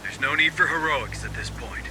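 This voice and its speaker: An actor playing a weary, stubborn marine sergeant, gruff and curt, in a cinematic sci-fi game. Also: male voice, animated, normal speed, pushed and bright. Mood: commanding. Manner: curt, sardonic, commanding. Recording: noisy, engine and ambience rumble.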